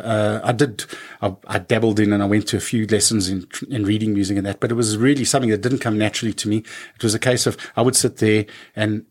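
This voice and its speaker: A man's voice: on the low side, husky. A man in his fifties, a musician telling childhood stories in a casual interview, with lots of restarts and fillers. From South Africa. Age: 50s. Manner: rambling, many restarts and fillers. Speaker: musician guest.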